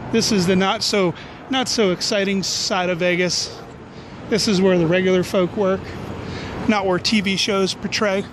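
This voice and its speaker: A middle-aged man with a fairly high voice narrates a casual walking tour. He rambles along in a jokey, offhand way as a vlog host. He is outdoors on a handheld camera, with clear street and traffic noise around him. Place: outdoors on city back streets. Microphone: handheld camera at arm's length.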